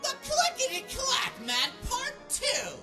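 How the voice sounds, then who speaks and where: A woman announces radio play credits in a dramatic, fairly high voice with huge pitch swings. She reads slowly over clear music. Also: huge pitch swings. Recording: music under the voice.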